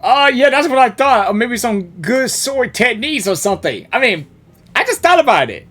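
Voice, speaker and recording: Excitable man reacting to a game. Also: extremely high pitch, normal speed, soft background music. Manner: excitable, slangy. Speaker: video game streamer. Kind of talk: live gameplay reaction commentary, casual.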